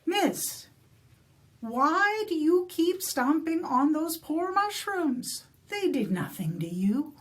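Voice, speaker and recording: A woman's voice: big pitch swings, slow, heavily acted. A woman voicing a small, fretful male leprechaun who talks politely and pleads woefully. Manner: squeaky, pleading, high-pitched.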